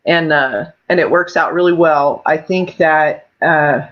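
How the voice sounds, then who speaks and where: A woman's voice: low-pitched, fairly even intonation, unhurried. A middle-aged woman from Oklahoma chatting casually on a livestream. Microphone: internet video call. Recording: dull lo-fi livestream audio.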